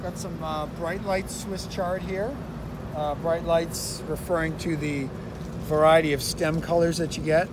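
A middle-aged man with a slightly raised pitch talks casually through a rooftop garden tour, eager and full of fillers. He was recorded outdoors on a camera mic, with steady city traffic clearly audible behind him. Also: measured pace. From United States. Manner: relaxed, enthusiastic explaining with fillers. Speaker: garden educator.

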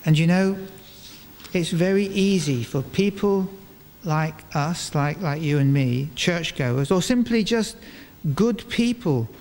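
Older man preaching slowly in an echoing church. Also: fairly high pitch, lively intonation, a little mellow. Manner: measured phrasing with deliberate pauses and rhetorical questions. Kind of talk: sermon. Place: large stone church, indoors, before a congregation. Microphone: microphone on a stand. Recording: reverberant church hall, clear background noise.

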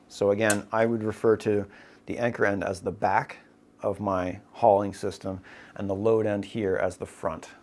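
Man, somewhat low voice, explanatory, step-by-step, climbing lesson. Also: slight background noise. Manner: explanatory, step-by-step, frequent "right?" checks. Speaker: climbing instructor. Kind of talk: tabletop demonstration lesson.

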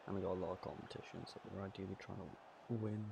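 Male gaming host, croaky and gravelly, excitable play-by-play over a noisy recording. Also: low voice, fast talker. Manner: excitable, swears and laughs at own play. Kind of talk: casual game commentary.

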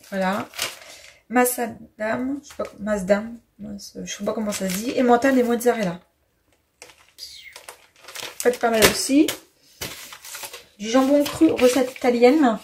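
A woman in her twenties chatting casually through a grocery haul in her home kitchen, unhurried, with many fillers and hesitations. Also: on the high side, background noise. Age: 20s. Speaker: vlogger. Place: bright home kitchen, indoors.

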